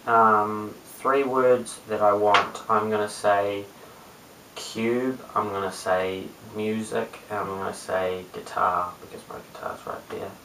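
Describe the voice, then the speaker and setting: Mumbling young New Zealand man, flat pitch. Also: slow. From Christchurch, New Zealand. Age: young adult, late teens. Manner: low-key, mumbling, hesitant. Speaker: amateur video blogger. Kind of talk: casual chat to camera. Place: small bare room indoors. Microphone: webcam at a short distance. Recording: roomy, distant webcam mic with hiss.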